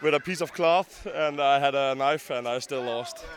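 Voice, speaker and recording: A man with a Danish accent, a Viking reenactor, speaking haltingly with many pauses in simple phrases. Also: medium pitch, a little hiss or hum. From Denmark.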